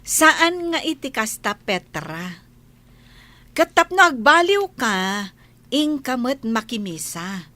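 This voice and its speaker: A woman's voice: on the high side, very animated, takes it slowly, pauses often, sharp tone, dry recording, heavily acted. A woman playing a pious, caring village neighbour in a radio drama, speaking earnestly and moralizing with an Ilocano accent. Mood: reproachful, preachy. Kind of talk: Filipino comedy radio drama acting.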